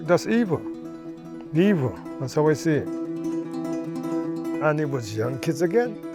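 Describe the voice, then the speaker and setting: A man's voice: a little high, big pitch swings, slow, loud music behind the voice. A man at a protest speaking emphatically, exclaiming in dismay.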